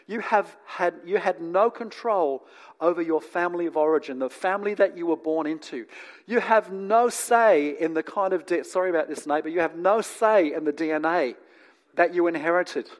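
Man, notably high-pitched voice, conversational sermon, teacherly delivery, deliberate pauses, asides to listeners. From born in England, grew up in New Zealand, lives in Australia. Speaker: preacher.

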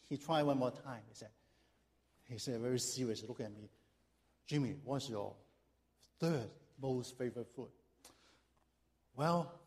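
A man's voice: medium pitch, a little mellow. A middle-aged male pastor from Hong Kong preaching in a church, reading scripture and then telling a humorous personal story with frequent fillers.

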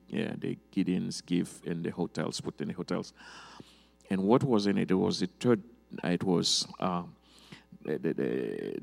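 A man with a Haitian accent, speaking English as a second language, giving an informal church testimony as a guest pastor in a halting, hesitant way. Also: low voice, vocal fry. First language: Haitian Creole or French. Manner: halting, hesitant, with repeated restarts.

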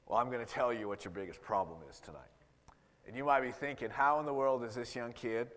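Man in his thirties, rough voice, preacher, casual sermon full of ums, long church reverb. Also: medium pitch, fairly quick, a bit bright. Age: 30s. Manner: conversational with frequent ums and restarts. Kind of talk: sermon with scripture reading.

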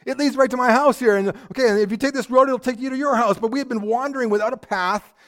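A man preaching a sermon, telling stories with lots of energy, often repeating words as he starts a sentence, and dropping to a hush to drive a point home. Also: very high-pitched, fast. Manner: animated storytelling, swings from loud to hushed. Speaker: pastor.

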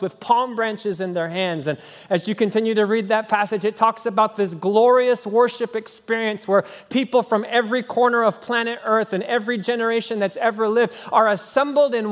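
Male pastor with a high voice, preaching animatedly in a church with long reverb. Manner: animated preaching, tag questions and sung snippets. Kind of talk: sermon. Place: church sanctuary.

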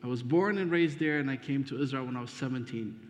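A man's voice: medium pitch, fast talker. A man from Ethiopia addresses a church congregation as guest preacher, friendly and hesitant, with many fillers. The sound is dull and muffled, with a long reverb from the big hall. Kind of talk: sermon introduction. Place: church sanctuary.

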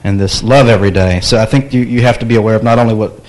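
A man's voice: fairly low pitch, fairly even intonation, very fast, a little mellow, rough recording. A man in a church discussion group giving an earnest, reflective answer.

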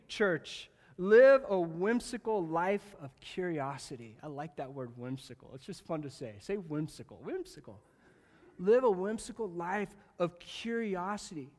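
A man preaching a sermon to his congregation, animated and jokey, swinging from loud bursts to quiet asides and talking straight to the room. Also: high voice. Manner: jokey, talks directly to the congregation. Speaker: pastor. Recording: long reverb of a large hall.

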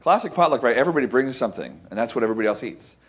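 A man giving an informal, conversational sermon as a preacher, folksy and halting, repeating phrases and checking with his listeners for agreement. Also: medium pitch, sing-song, slightly rough. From Costa Rica.

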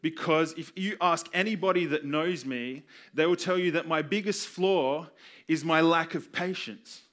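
A man preaching a sermon with personal stories, earnest and emphatic, using repetition and deliberate pauses. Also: a little high, a bit bright. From Australia. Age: 20s. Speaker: youth pastor preaching.

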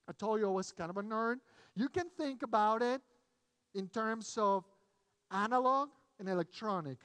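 A male preacher with a Mexican accent speaks in a reverberant hall. A native Spanish speaker, he delivers his sermon deliberately and emphatically. He returns to key phrases to press them home. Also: high voice, a bit bright. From Mexico City, Mexico. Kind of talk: sermon with scripture reading and personal anecdotes.

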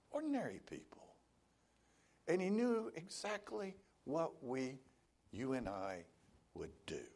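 A man's voice: mid-range voice, a little mellow. A male pastor preaching a sermon, telling stories and voicing the people he quotes.

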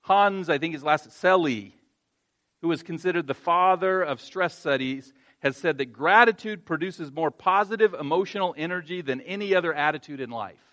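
A man's voice: on the high side, normal speed, pushed and bright, lo-fi sound. Earnest man preaching a sermon. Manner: conversational with self-deprecating laughs, rising to earnest emphasis. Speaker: pastor.

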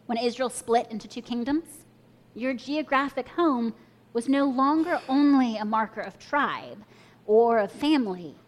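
A woman's voice: on the high side, animated, a little slow. Reflective woman preaching a sermon. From Kenya and the US. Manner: reflective storytelling, invites listeners to imagine.

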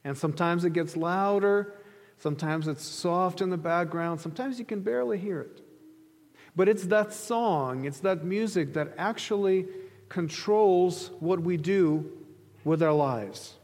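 High-voiced male preacher in a reverberant hall. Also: a little slow, darkish tone. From Ukraine. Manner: conversational, illustrative storytelling. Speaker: pastor preaching to a congregation. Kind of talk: sermon. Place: large reverberant room, preaching to an audience.

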